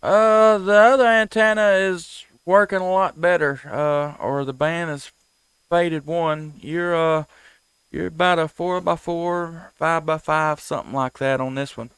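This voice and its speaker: A man's voice: fairly high pitch, fairly even intonation, unhurried, a bit bright, a little hiss or hum, rough recording. A man, hosting an amateur radio live stream with casual, folksy, friendly chat and station calls. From United States.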